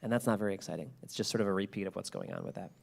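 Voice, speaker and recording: A man's voice: low voice, very fast, creaky, slightly rough. A man giving a public talk to an audience, speaking emphatically and repeating key phrases.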